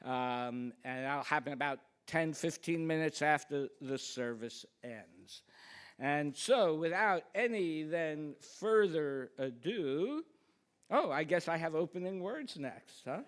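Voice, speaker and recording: A woman's voice: low-pitched, animated, takes it slowly. A woman speaking as a minister to her congregation, with informal, self-deprecating asides between announcements and readings. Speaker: congregation minister leading the service. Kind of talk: church service announcements and scripture reading. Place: church sanctuary, speaking to a congregation.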